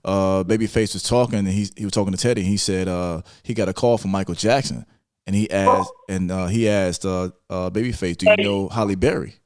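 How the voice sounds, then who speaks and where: A man's voice: sits low, fairly even intonation, fairly quick, no room sound, clean studio sound. A man hosting a podcast, talking casually about music in informal, slangy speech. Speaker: podcast host.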